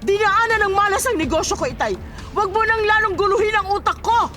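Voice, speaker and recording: A greedy, defiant daughter in a radio drama, in a very high, piercing, bright voice. She is hot-tempered, bitter and quick to bite back. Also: soft background music. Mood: irritated, defiant. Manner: sharp, bitter, defensive. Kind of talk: Filipino radio drama acting.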